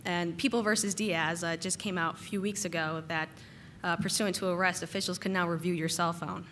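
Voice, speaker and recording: A woman giving a casual technical conference talk, slightly hoarse from a cold and using lots of "uh" and "um" fillers. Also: lowish voice, steady tone, brisk, fairly bright tone, slight background noise. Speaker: conference speaker. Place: conference hall.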